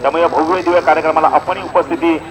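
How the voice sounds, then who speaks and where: A man's voice: fairly high pitch, fairly even intonation, very fast, rough recording. A man giving live commentary and announcements at a local outdoor cricket tournament. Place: outdoor village cricket ground. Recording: outdoor event sound, crowd behind.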